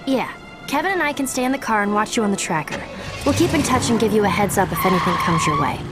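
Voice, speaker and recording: A woman's voice: medium pitch, lively intonation, fast talker, close to an everyday voice. An actress voicing an earnest, sensible teenage girl in a video game cutscene. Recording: game audio with loud music underneath.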